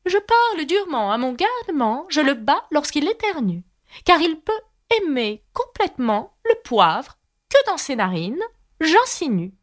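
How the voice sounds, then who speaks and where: Casting: woman putting on a raspy, harsh old duchess, barking orders and jumping high and low. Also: sing-song, unhurried, fairly bright tone, exaggerated voice. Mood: sing-song. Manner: abrupt, snapping, sing-song when chanting. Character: bad-tempered rude old duchess, harsh and bossy. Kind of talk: audiobook character voice.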